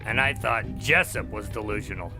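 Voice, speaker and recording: An actor playing a sarcastic, irreverent adventurer in an audio drama, with a dry and casual, wisecracking manner. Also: male voice, fairly low pitch, normal speed, over music, lightly acted. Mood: wry. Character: sarcastic, irreverent male adventurer living in the moment.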